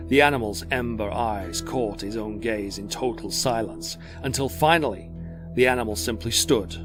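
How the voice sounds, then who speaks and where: Guy narrating an audio drama, kinda low voice, measured storytelling, soft music in back.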